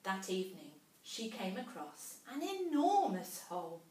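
A woman's voice: mid-range voice, very animated, unhurried, a little mellow. A woman, a teacher reading a storybook expressively to young children. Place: small classroom, indoors. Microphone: camera a few metres away. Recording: roomy classroom, distant camera mic.

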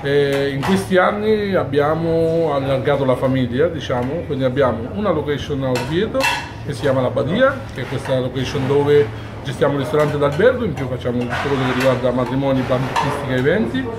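Brief: man in his 40s from Umbria, chef, earnest and detailed, medium pitch, kitchen clatter behind. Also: animated, brisk, noisy background. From Umbria, Italy. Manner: earnest, detailed, with frequent fillers and restarts. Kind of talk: on-camera interview. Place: busy restaurant kitchen during service.

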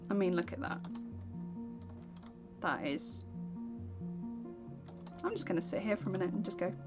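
A woman's voice: lowish voice, big pitch swings, normal speed. A woman chatting casually on a live game stream, rambling as she plays and thanking viewers by name. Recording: game music playing underneath.

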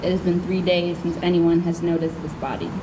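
A woman's voice: lowish voice, level tone, a little fast. Young American woman poet at outdoor mic, noisy. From Chicago, USA. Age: young adult. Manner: candid, informal, projecting. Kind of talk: live spoken word poetry reading with casual asides. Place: outdoors under a canopy tent. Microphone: stand microphone. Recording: clear background noise, outdoor event ambience.

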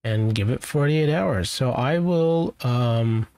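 A man presenting a software tutorial in a casual, informal way, thinking aloud as he goes. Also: medium pitch, slow, no echo. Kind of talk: casual screen-share software walkthrough.

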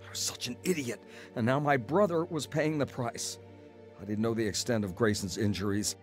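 A man's voice: medium pitch, normal speed, natural voice. A male actor voices a self-made, stubborn older brother with an American accent in an audio drama. He sounds cynical and emphatic, in a mid-range voice over faint music. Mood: regretful narration. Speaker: actor narrating and playing the lead.

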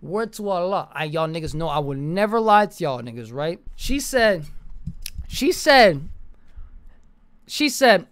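A young American man tells a story as a streamer, his pitch jumping all over the place. He talks in loose, profane slang and hammers phrases by repeating them, sounding exasperated. The voice is close on a desk microphone. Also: very animated, unhurried, pauses often, a bit bright, clear, resonant tone. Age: young adult. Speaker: streamer telling a story to live chat. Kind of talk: casual animated storytime. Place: bedroom or basement room, indoors. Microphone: desk microphone close to the mouth, with headset.

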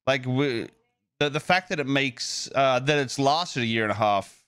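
A man with a mid-pitched voice chats loosely on a game stream, recorded dry and close. He thinks aloud in a casual, rambling way and swears freely as he goes. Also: normal speed, fairly bright tone, smooth, no echo. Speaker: game server developer and streamer.

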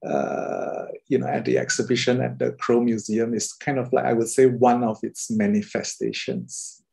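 A Singaporean man, an artist, talking thoughtfully with many pauses and fillers on a video call. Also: mid-range voice, steady tone, vocal fry, a little mellow. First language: Mandarin.

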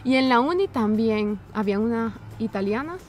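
Girl in her 20s chatting outside on a bench, kinda high voice, lively and casual. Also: moderate pace. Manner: chatty, expressive, gestures while talking. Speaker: vlogger, university student in Austria. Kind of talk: casual chat. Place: outdoor garden bench by a building.

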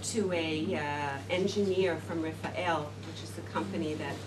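A woman's voice: sits low, steady tone, normal speed, distant mic. Woman rambling to a classroom group, heard from afar. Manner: rambling, many fillers and restarts. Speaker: speaker addressing a group. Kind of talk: informal talk to a seated audience. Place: classroom, indoors. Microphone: far camera. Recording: roomy classroom sound from afar with noise.

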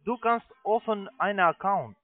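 Halting foreign-accented man on a phone line. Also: high voice. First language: not German. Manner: halting, broken German with repeated restarts. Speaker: phone sales agent, investment scam caller. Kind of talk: sales pitch on a phone call. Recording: tinny narrow phone line.

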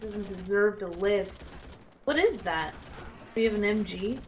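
A woman's voice: mid-range voice, a little slow, slight background noise. Young woman gaming, faint gunfire behind. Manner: playful, slangy. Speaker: gamer, co-player. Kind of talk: casual gameplay chat. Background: war game gunfire.

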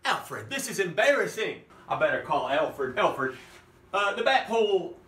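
A man's voice: sits high, sing-song, unhurried, sharp tone, reverberant, far from the mic. A middle-aged man hosting his own vlog from a home office full of props, talking to viewers in a campy, theatrical kids'-show-host way and chuckling often. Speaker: costume maker and vlog host.

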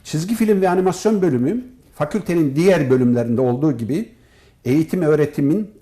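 A man with a fairly high, mellow voice speaks as an academic being interviewed. His delivery is formal and measured, laying points out in orderly, list-like phrases. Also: lively intonation. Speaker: professor and department head, interview guest. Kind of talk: formal, prepared-sounding interview answers.

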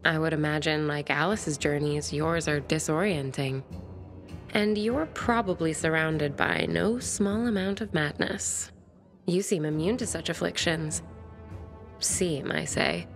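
An actress playing a bookish, flirtatious woman survivor in a video game, speaking in articulate, measured phrases. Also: low voice, faint music behind. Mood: thoughtful, curious. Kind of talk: video game cutscene acting.

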